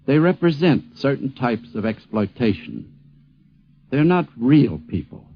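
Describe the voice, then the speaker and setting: A man giving a reflective spoken tribute, stressing his points deliberately. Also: mid-range voice, big pitch swings, slight background noise. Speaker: commentator recalling an acquaintance. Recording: dull lo-fi vintage radio recording.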